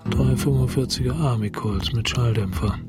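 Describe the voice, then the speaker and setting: An actor in a German radio drama playing a driven police detective, speaking in clipped, deliberate fragments. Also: male voice, medium pitch, close to an everyday voice. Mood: focused, brooding. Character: terse, driven police detective working a case. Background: guitar music.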